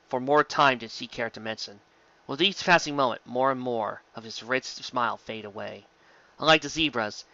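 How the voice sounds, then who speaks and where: A man's voice: mid-range voice, bright, forceful tone, muffled recording. A man reading a fantasy story aloud as a narrator, in a dramatic scripted style. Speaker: audiobook narrator of fan fiction.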